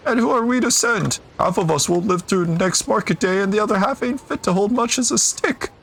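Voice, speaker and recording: Frantic, ragged villagers cry out in a strained, high voice, as a man puts them on. Their words come anguished and pleading. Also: a little fast, gravelly, a bit bright, a little hiss or hum. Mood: desperate. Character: desperate refugees, ragged and pleading.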